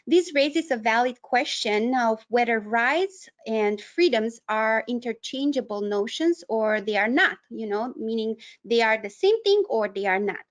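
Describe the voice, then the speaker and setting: A woman with a Romanian accent presenting an educational webinar, explaining things in a halting way with frequent pauses mid-sentence. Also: on the high side, expressive. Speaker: webinar presenter, human rights advocate.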